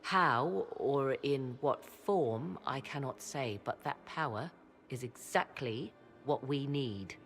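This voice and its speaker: A woman's voice: rumbling low, soft background music, full character voice. A woman playing a proud, learned witch in a video game, speaking in formal, archaic, mysterious phrases. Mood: measured, urging. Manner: archaic, measured, theatrical phrasing. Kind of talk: video game cutscene acting.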